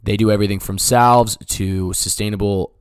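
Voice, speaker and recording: A man's voice: lowish voice, fairly even intonation, moderate pace, smooth, no room sound, clean studio sound. A man hosting a casual solo comedy podcast, talking in a rambling, jokey, sweary way like a stand-up. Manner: rambling, profane, jokey self-interrupting delivery. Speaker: podcast host.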